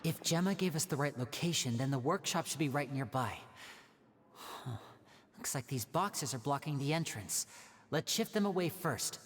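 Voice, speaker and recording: A woman voicing a confident, courteous young male magician in a video game, smooth and earnest. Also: sits low, animated, normal speed, background noise. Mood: focused. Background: game music.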